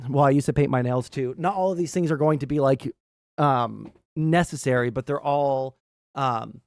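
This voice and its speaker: A man talks casually to his livestream in a somewhat high voice. He rambles and circles back as he explains, often tagging phrases with a questioning "right?" His language is blunt and sweary, and the sound is very dry, with no room echo. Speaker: livestream host. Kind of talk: casual livestream commentary while gaming.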